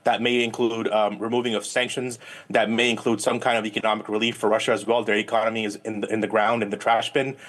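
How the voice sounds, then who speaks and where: A man's voice: on the low side, flat delivery, quick pace, a bit bright. A man speaking as a guest political analyst in a live news interview, explaining at length and often repeating words as he phrases his points.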